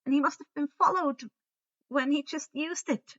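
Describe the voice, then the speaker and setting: A woman's voice: high voice, fairly bright tone, lo-fi sound, pitched above the performer's own voice. A woman voicing an eager, urgent young witch in a fantasy story reading. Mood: explaining. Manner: quick, urgent.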